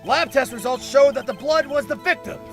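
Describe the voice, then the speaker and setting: A man voicing a bumbling, stammering police detective with a gravelly voice, in a fan-dubbed courtroom drama. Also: extremely high pitch, moderate pace, fairly bright tone, soft background music. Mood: blustering.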